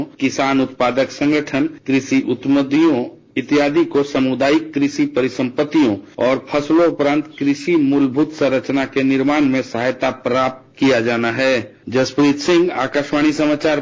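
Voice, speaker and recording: Male field correspondent, slightly dull audio. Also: medium pitch. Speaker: district news correspondent. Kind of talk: scripted news report. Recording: dull, lo-fi radio dispatch.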